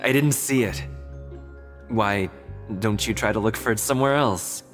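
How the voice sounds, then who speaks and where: A man's voice: fairly low pitch, moderate pace, faint music behind, natural voice. An actor playing a big, nervous, evasive man in a video game, hesitant and stammering. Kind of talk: video game character acting.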